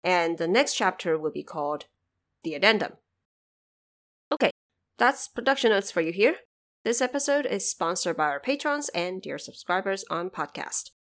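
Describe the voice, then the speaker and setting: A woman's voice: fairly low pitch, big pitch swings, moderate pace, fairly bright tone. A woman hosting a podcast, giving scripted explanations with conversational asides addressed straight to the listener. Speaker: podcast host.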